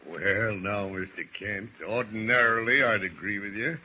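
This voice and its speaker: An actor playing a scheming, folksy seafaring ship's master who speaks in salty dialect in an old radio drama. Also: male voice, lowish voice, moderate pace, breathy edge. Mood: wheedling, folksy. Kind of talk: 1940s radio drama acting. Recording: muffled, noisy old radio recording.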